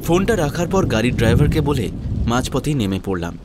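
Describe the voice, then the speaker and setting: A man narrating a scripted first-person audio story in a measured, dramatic storytelling manner. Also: mid-range voice, big pitch swings, very noisy.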